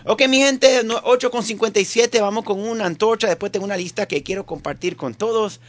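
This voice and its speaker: Venezuelan male radio host, slightly high voice. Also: a little fast. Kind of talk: live morning show talk with news report. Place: radio studio.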